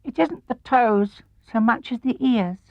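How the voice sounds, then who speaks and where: High, earnest little piglet. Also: male voice, expressive, a little slow, no room sound, muffled recording, full character voice. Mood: solemn. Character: small, timid, earnest piglet from a children's storybook.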